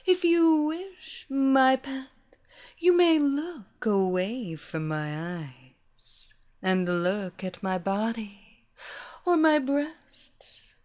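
Voiced by a woman, a seductive villainess who commands and teases her captive in a slow, hypnotic drawl. Her voice sits a little high and slips into breathy whispers on drawn-out words. She leans on the same words again and again like a spell. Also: darkish tone, heavily acted. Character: seductive female villain hypnotist, domineering and teasing. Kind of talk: erotic hypnosis audio story.

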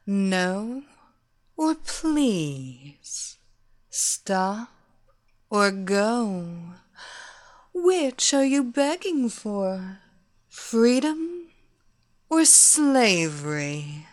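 A woman playing Evelina, slow sultry hypnotist. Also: mid-range voice, very animated. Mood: taunting. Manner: slow, sultry, hypnotic repetition with breathy whispers. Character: seductive female villain hypnotist, domineering and teasing. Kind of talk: erotic hypnosis audio story.

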